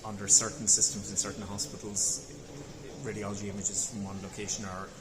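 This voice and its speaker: A low-pitched man speaks in a careful, methodical way, now and then going back to restart a phrase. His voice echoes around a big room, with loud crowd chatter and ambience behind him. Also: level tone, very noisy. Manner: measured, methodical, careful explanation with some hesitant restarts. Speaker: consultant radiologist. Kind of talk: conference interview. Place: busy conference venue.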